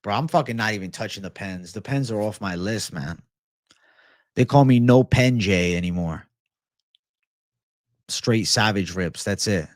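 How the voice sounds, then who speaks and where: A young man talking casually on a livestream, his voice low and settled as he answers viewer messages. He is relaxed and slangy, calling everyone bro. The sound is close and dry, from a desk mic in a small home studio. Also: a little slow, pauses often, no room sound. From American. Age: young adult. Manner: laid-back, slangy, says bro a lot. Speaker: MMA livestream host. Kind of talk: casual livestream chat reading superchats. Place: home studio room with shelves. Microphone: desk microphone in front.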